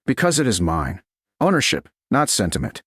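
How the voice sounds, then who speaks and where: A man's voice: on the low side, huge pitch swings, normal speed, fairly bright tone, slightly rough, dry recording, studio quality, full character voice. A man voicing a guarded, brooding duke who speaks in blunt, clipped sentences.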